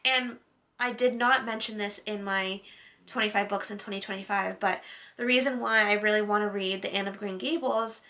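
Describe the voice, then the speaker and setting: Bright-voiced woman in her late twenties. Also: medium pitch, fairly even intonation, normal speed. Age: late 20s. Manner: chatty, lots of "like" fillers. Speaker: book vlogger. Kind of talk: casual chat to camera.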